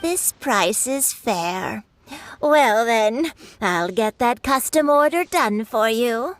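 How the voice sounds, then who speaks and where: An actress playing a kindly elderly granny who sells kites in a video game, gentle and grandmotherly. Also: on the high side, expressive, slow. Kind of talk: scripted video game voice acting. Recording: game music over the voice.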